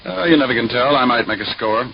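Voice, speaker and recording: A man's voice: medium pitch, lively intonation, quick pace, gravelly. An American man playing a wisecracking, laconic private eye in an old-time radio detective drama. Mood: dry, casual. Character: wry, restless, flirtatious male private eye. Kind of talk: 1940s-50s radio detective acting and narration. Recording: muffled, noisy old radio recording.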